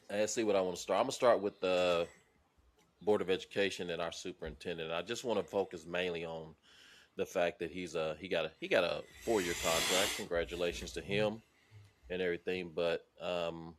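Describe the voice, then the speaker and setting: A man's voice: sits low, normal speed. A man turning fifty, co-hosting a casual, blunt podcast chat. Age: 40s. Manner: laid-back, blunt, profane. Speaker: podcast co-host.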